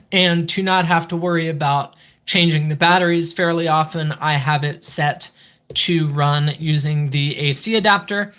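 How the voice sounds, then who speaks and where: Casting: channel host for a casual livestream review, mid-pitched voice, methodical step-by-step explainer. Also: fairly bright tone.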